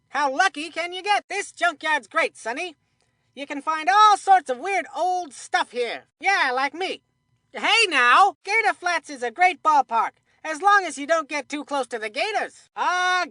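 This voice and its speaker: High, bright kid baseball commentator. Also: female voice, no echo, clean studio sound. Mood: excited, playful. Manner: folksy, jokey old-time announcer patter. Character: excitable goofy kid baseball commentator, a young boy. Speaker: sports commentator. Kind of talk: cartoon video game baseball commentary.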